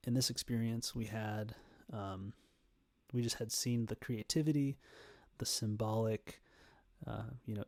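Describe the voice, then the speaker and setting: A young man giving an informal prepared speech in an earnest, hesitant manner with frequent pauses. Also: medium pitch, gravelly, darkish tone, no room sound. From Canada, Ontario. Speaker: student giving a persuasive speech.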